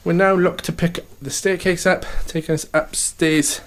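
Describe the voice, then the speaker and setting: Upbeat estate agent man, slightly high voice. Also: fairly even intonation, moderate pace, slight background noise. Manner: upbeat sales patter, keeps saying "okay" and "fantastic". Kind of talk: property tour walkthrough.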